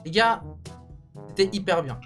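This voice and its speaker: A man's voice: high voice, expressive, unhurried, over music. A man co-hosting a video, ranking cartoons in casual, slangy, enthusiastic banter. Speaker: co-host of a video channel.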